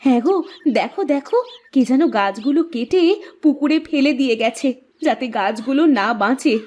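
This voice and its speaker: A woman voicing a caring, hopeful village housewife in a cartoon story, expressive and coaxing. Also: high voice, moderate pace, lo-fi sound.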